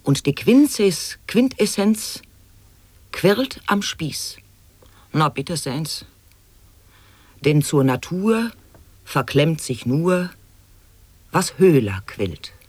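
An actor in a radio play voicing an eccentric declaimer of absurd wordplay and rhymes in theatrical, deliberate German stage delivery. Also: mid-range voice, sing-song, a little mellow, husky, no echo, exaggerated voice. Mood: playful, declaiming. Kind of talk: avant-garde radio drama monologue, sound poetry.

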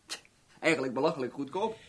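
A man's voice: mid-range voice, lively intonation, fast, a bit bright, breathy edge. An actor playing a cocky, scheming small-time crook in a 1970s Dutch radio drama, chummy and mock-friendly as he boasts and explains. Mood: self-satisfied.

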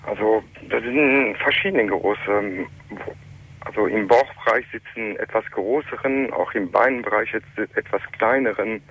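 A man in his late thirties from Iran, calling in to a radio talk show and telling his story in halting, German. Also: lowish voice. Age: 30s. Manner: halting, plain non-native German. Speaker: caller. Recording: muffled telephone line.

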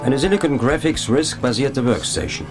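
An actor playing a precise professor of digital image manipulation, speaking in a lecturing, matter-of-fact way. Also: male voice, mid-range voice, lively intonation, normal speed, music in the background, natural voice.

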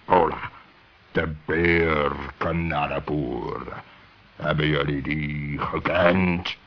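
An actor playing a huge, gruff polar bear customs officer in a children's radio play, growling and curt. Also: male voice, very deep voice, steady tone, heavily acted. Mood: gruff, curious. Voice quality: growling, gravelly. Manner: curt, authoritative, slow. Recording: dull old radio play recording.

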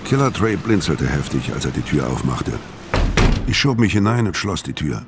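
Low-voiced, grim hitman. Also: big pitch swings, normal speed, heavy background noise. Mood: detached. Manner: terse, matter-of-fact. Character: grim, laconic tall male hitman narrating his crimes. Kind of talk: first-person noir radio drama narration.

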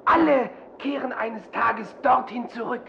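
A woman voicing a solemn, proud sea-loving ship's sprite with a creaky voice in a German radio play. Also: fairly high pitch, unhurried, fairly bright tone. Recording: muffled old recording with some noise.

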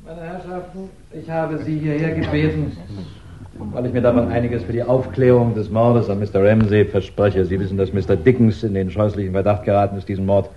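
An actor playing a composed, courteous Scotland Yard chief inspector in a 1960s radio crime drama, measured and authoritative. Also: male voice, lowish voice, steady tone, a little hiss or hum, close to an everyday voice. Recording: dull 1960s radio recording.

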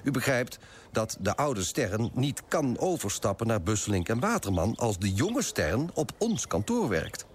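An actor playing a pompous, self-righteous coffee broker who moralises emphatically in a radio drama monologue. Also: male voice, mid-range voice, sing-song, a little slow, a little hiss or hum. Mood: smug, scheming.

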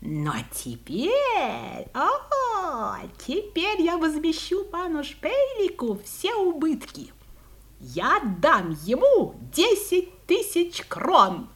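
Very high-pitched sly lady fox. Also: huge pitch swings, extremely slow, fairly bright tone, exaggerated voice. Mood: musing, gleeful. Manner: theatrical, mock-polite, exclaiming and musing. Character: cunning female fox, teasing, sly and self-satisfied. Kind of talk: 1970s Soviet audio play acting for children.

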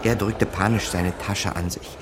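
A smooth small-time swindler, acted by a man in a crime radio play. His voice sits low and sounds conspiratorial and wry. Clear background ambience runs behind him. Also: fairly even intonation, measured pace, noisy background, close to an everyday voice. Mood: wry, scheming. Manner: conspiratorial, dryly wry asides.

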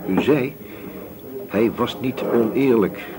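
An actor playing a calm police inspector who asks short, measured, probing questions in a radio drama. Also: male voice, medium pitch, natural voice. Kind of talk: naturalistic radio drama dialogue. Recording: busy crowd noise around the voice. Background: café chatter.

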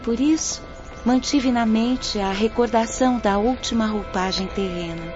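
A woman playing a gracious, gentle aristocratic teenage girl spirit in a radio drama, speaking formally and courteously. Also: on the high side, unhurried, over music, lightly acted. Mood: serene, wistful. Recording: dull sound with faint background noise.

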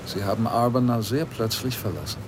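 A man's voice: on the low side, brisk, noisy background, natural voice. An actor playing a probing, insistent male lawyer who tells his own story in a German radio play, with a dry, pressing manner. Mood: pressing, sceptical. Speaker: radio drama actor, narrator.

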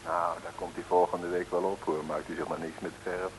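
A man's voice: deep voice, level tone, normal speed, poor audio. A man narrating a vintage comic radio serial in a tongue-in-cheek, chatty way, with asides to listeners. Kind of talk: wry scripted radio narration, 1960s. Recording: hissy old radio recording.

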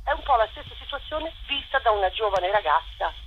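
A woman directing a play, talking in a radio interview in an animated, rambling way full of restarts. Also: on the high side, a little fast, lo-fi sound, a little hiss or hum. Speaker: theatre director.

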